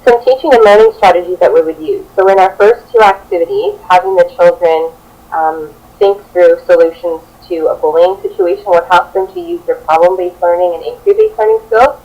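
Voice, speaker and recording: A woman's voice: fairly low pitch, expressive, fairly quick, warm tone, rough recording. A young adult woman giving a prepared classroom presentation as a student teacher, partly reading. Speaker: student teacher presenting a children's book project.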